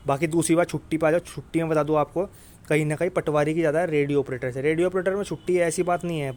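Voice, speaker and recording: A man with a North Indian accent and a slightly high-pitched voice talks directly to his viewers. He explains things informally, like an online coach. His manner is blunt and insistent, and he often repeats a point and checks that it has landed. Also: darkish tone. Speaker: exam coaching YouTuber.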